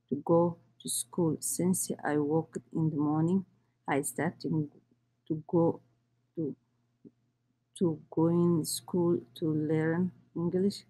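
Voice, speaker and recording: A woman, reading her own life story in halting English. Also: low-pitched, warm tone, low-quality recording. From Somalia. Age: 30s. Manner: halting, with restarts and non-native grammar. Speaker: immigrant storyteller.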